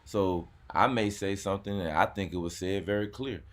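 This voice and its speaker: A man's voice: low-pitched, normal speed. A man hosting a car channel, chatting casually, repeating words and saying "man" often. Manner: laid-back, repeats words, says "man" a lot. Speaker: YouTube car channel host. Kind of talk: casual chat.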